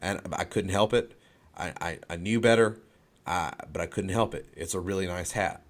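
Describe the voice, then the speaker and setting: A man's voice: low voice, normal speed. A man on a sports podcast panel, chatting casually in a mock-contrite, repetitive way.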